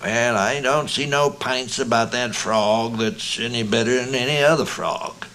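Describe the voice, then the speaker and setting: A man voicing a doubting, deliberate stranger in an Old West tale, with an American accent and folksy drawl. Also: medium pitch, pushed and bright, pitched above the performer's own voice. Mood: smugly deliberate. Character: deliberate, doubting stranger gambler in a mining camp. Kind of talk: Old West storybook reading.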